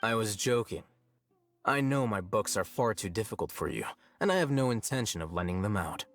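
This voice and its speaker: A man plays an aloof young scholar in an anime game. His voice is fairly low and deadpan, unhurried and faintly condescending, with soft music behind him. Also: close to an everyday voice. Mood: deadpan teasing. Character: aloof, dry-witted young male scholar.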